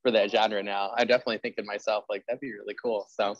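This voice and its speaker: Low-voiced man in rambling, casual chat. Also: flat delivery, quick pace, dry recording, dull audio. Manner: rambling, peppered with "like" and "you know". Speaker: interviewer and host; history teacher. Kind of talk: casual chat about genre fiction writing.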